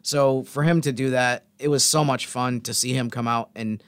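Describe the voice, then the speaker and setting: Man, sports podcast host, casual chat, medium pitch, restarting phrases, very dry recording. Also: fairly even intonation, normal speed, smooth, no room sound. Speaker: basketball podcast host and locker-room reporter.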